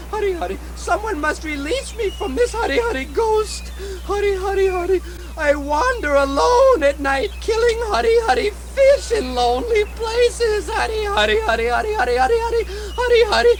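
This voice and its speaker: A woman playing a terrified, superstitious fisherman in a puppet show, stammering and breaking into a repeated chant. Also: very high-pitched. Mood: fearful, distraught. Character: terrified, ghost-haunted male fisherman, frantic and superstitious. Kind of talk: theatrical puppet-show acting.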